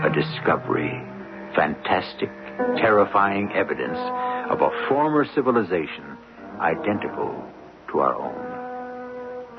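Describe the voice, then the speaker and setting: A man playing a solemn, ominous mystery host, narrating a radio drama with portentous, deliberate phrasing and dramatic pauses. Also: low voice, vocal fry, exaggerated voice. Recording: dull vintage radio, music underneath. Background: dramatic music.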